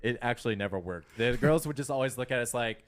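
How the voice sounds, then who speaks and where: Young male co-host, mid-pitched, stubborn storyteller. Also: fast talker, a little hiss or hum. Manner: insistent storyteller who keeps contradicting. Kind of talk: casual chat among friends.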